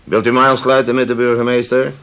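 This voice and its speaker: An actor playing a busy, grumpy, henpecked middle-aged businessman in a theatrical radio drama, gruff and harried. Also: medium pitch, little pitch movement, fast, a bit bright, slight background noise, lightly acted. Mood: irritated, harried.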